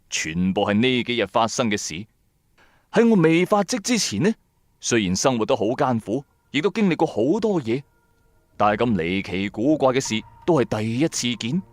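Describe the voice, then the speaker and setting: A man narrating a radio drama in the first person, colloquial, wry and expressive. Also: mid-range voice, no room sound, studio quality. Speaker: storyteller, narrator.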